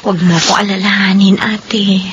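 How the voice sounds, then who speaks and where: An actress playing a young, compassionate and caring sister in an earnest radio drama style. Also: female voice, medium pitch, little pitch movement, normal speed, muffled recording, slight background noise, lightly acted. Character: gentle, compassionate grown sister, pious and caring.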